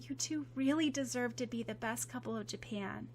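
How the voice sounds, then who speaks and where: A woman's voice: on the high side, moderate pace, slight background noise, voice pushed up, natural voice. A woman voicing a modest, kind teen girl who speaks earnestly.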